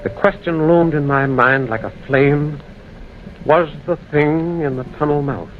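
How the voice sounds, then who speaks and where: An actor playing a grave man recounting a ghostly encounter, tense, deliberate and dramatic, in an old-time radio drama. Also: on the high side, natural voice. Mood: grave, suspenseful. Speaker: narrator and protagonist. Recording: muffled, hissy old radio recording.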